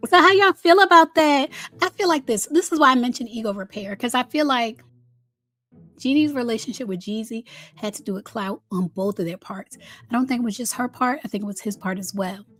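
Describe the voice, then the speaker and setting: A woman hosting a casual celebrity gossip commentary, chatty and candid, with drawn-out emphasis and a slightly raspy voice worn from a recent illness. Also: on the high side, fairly bright tone. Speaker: host of a celebrity gossip channel.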